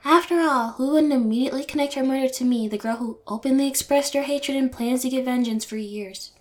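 A woman's voice: sits high, fairly quick, natural voice. A young woman playing a bold, twisted, spoiled rich yandere girl in prison, in an intimate, teasing audio roleplay spoken to the listener. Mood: smug, scornful. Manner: taunting, brazen, teasing, drops to breathy whispers.

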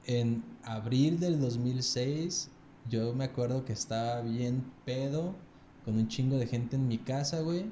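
A man's voice: medium pitch, measured pace, mellow, reverberant, slight background noise. A Mexican man in his thirties telling his own story in a loose podcast chat, full of crude slang, tagging "güey" onto nearly every phrase. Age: 30s. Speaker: podcast guest.

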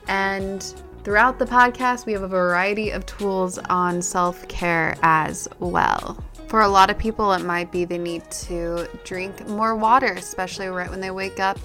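A woman's voice: medium pitch, fairly bright tone. A woman hosting a podcast, giving a reflective self-help monologue and speaking to the listener in an encouraging way. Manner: addresses listener directly, encouraging. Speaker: podcast host.

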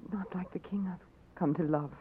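A woman's voice: on the low side, a little fast, husky. An actress playing a devoted young princess pleading with her beloved in a 1940s radio drama. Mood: tender. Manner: tender, pleading. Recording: muffled old radio recording with hiss.

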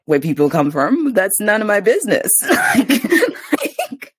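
A woman's voice: medium pitch, sing-song, unhurried, slightly rough, no echo, studio quality. A young adult woman hosting a podcast, chatting casually and expressively with frequent laughs and fillers. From immigrant to New Zealand. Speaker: podcast host. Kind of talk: casual chat.

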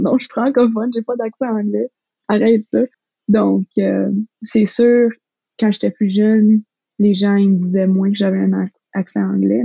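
A woman's voice: mid-range voice, gravelly, warm tone, husky, no room sound. A young woman speaking frankly as a podcast guest, laughing easily. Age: young adult. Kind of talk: casual conversation. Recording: dull lo-fi sound.

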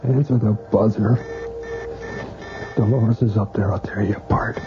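A man's voice: lowish voice, fairly even intonation, a little slow, over music, close to an everyday voice. An actor in a 1980s radio drama playing a desperate, haunted man hunting for a lost lover, urgent and then numb and hollow. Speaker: lead character in a radio horror drama. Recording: dull lo-fi radio recording with hiss.

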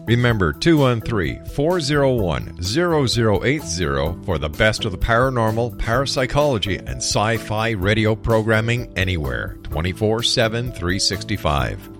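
Man, radio host, animated and salesy ad reads over a clear music bed. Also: moderate pace, few pauses. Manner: upbeat, salesy, with a lot of swing in pitch. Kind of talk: scripted ad read.

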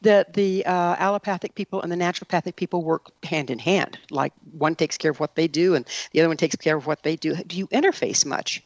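A woman interviewing someone conversationally, asking her questions in a halting way with restarts. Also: lowish voice, no room sound, highs cut off.

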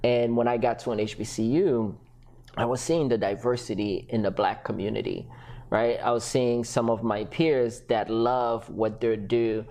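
A man who grew up in Haiti, a podcast guest telling his story in a lively conversational way, often acting out what others said and laughing. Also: mid-range voice, moderate pace. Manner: animated, acts out quoted voices, laughs often.

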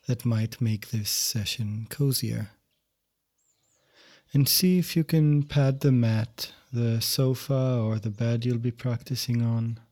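A man teaching yoga nidra, guiding a meditation in gentle, measured, unhurried phrases. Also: medium pitch. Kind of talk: guided meditation instruction.

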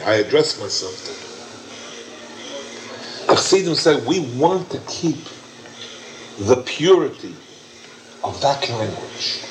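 A man's voice: medium pitch, slight background noise, rough recording. A man leading an informal question-and-answer session, emphatic and repetitive as he explains. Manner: warm, emphatic, repeats himself. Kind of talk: informal Q&A lecture.

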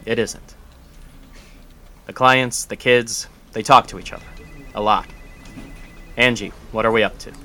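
A stern foundation director. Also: male voice, on the low side, fairly even intonation, unhurried, long pauses, sharp tone. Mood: formal. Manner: stern, formal. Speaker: foundation head. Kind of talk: audio drama acting.

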